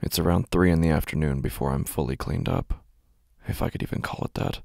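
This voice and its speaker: A man with a gravelly, husky voice, narrating first-person horror in a brooding, deliberate, intense manner, close on the microphone. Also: a bass voice, steady tone, a little mellow, no echo. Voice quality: gravelly, husky, breathy at times.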